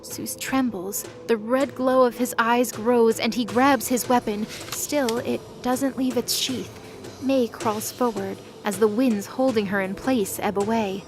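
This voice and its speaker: A woman's voice: on the high side, steady tone, unhurried, over music. A woman reading dramatic scripted narration for a fantasy audio drama.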